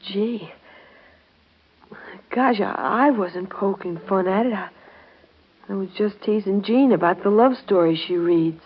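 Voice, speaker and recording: A woman's voice: mid-range voice, a little slow, long pauses, slightly rough. A woman in a 1930s radio soap opera playing a boyish, teasing young twin brother, blurting and slangy. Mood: sheepish. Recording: dull 1930s radio broadcast.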